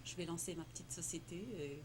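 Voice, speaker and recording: A woman whose first language is Tamil speaks in a fairly low voice, stumbling through her answers with lots of fillers and repeated words. The recording carries steady noise in the background. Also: quick pace. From Morocco. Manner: hesitant, many fillers and repeated words, laughs often. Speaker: interviewee, entrepreneur. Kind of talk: casual conversational interview. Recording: noisy background.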